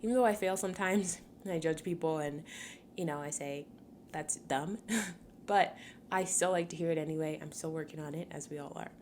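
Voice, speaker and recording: A woman in her twenties, from Cameroon, hosting a solo podcast in a chatty, upbeat way, laughing often and filling pauses with ums. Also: deep voice, a little mellow, a little hiss or hum. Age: 20s. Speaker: podcast host.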